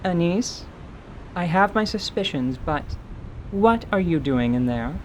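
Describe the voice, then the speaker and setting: A woman playing a strong, amused adventurer woman with a dry, brisk, teasing manner in an audio drama. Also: on the low side, expressive, slight background noise, pitched above the performer's own voice, low-quality recording. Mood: suppressed amusement.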